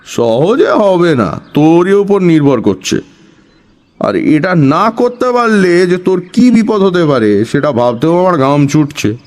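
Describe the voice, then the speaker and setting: A man's voice: fairly high pitch, very animated, measured pace, a little mellow. A man playing an eccentric, bossy village know-it-all in an audio story, scolding and conspiratorial with dramatic emphasis. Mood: urgent, conspiratorial. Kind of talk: Bengali audio story acting.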